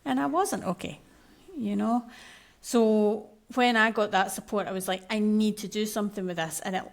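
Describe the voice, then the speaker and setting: A woman's voice: mid-range voice, moderate pace. A Scottish woman in her thirties, a podcast guest who tells her own story candidly and with animation in casual chat. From Scotland. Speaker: podcast guest, maternal mental health coach.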